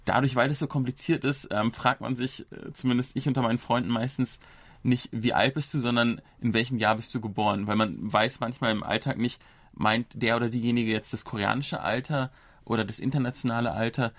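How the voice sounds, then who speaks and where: A man's voice: mid-range voice, steady tone, fairly quick, fairly bright tone, dry recording. A man in his thirties from Germany, hosting a casual podcast and explaining things at length with small restarts. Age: 30s. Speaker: podcast host.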